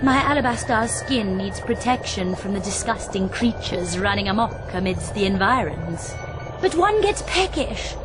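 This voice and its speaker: A woman's voice: medium pitch, expressive, normal speed, noisy background, natural voice. A woman playing a vain, bossy, gluttonous grande dame in a video game, pompous and theatrical in delivery. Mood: haughty, greedy. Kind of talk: video game character acting.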